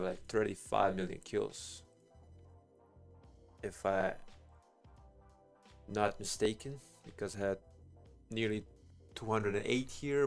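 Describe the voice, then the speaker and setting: A man's voice: fairly low pitch, creaky. A man giving casual, rambling game commentary as a live streamer, thinking aloud in informal language. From Bosnia and Herzegovina.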